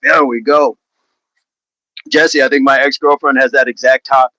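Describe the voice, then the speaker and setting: A man's voice: medium pitch, flat delivery, unhurried, bright, forceful tone, no room sound, poor audio. A man hosting a fitness livestream, chatting casually and answering viewer comments in an upbeat, laughing, repetitive way. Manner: chatty, upbeat, laughs often, repeats phrases.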